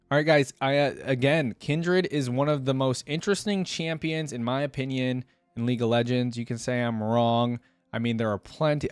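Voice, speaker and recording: A man's voice: mid-range voice, dry recording. Male reaction host, mid-range voice, dry recording, casual and unscripted, conversational rambling commentary with asides.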